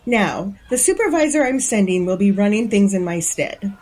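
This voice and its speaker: An actress playing an imperious, vain middle-aged hotel owner, curt and snappish, in a period audio drama. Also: female voice, mid-range voice, lively intonation, moderate pace, darkish tone, close to an everyday voice. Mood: curt, commanding. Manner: curt, haughty, scolding.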